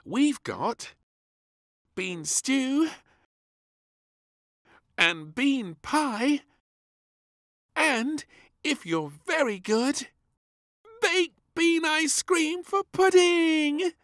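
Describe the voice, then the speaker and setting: A man voicing a fussy, gleeful little girl princess in a children's storybook, with whiny, drawn-out, exaggerated delivery. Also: very high voice, sing-song, a bit bright, no echo, clean studio sound, voice pushed up, exaggerated voice. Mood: bossy, excited. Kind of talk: read-aloud children's storybook.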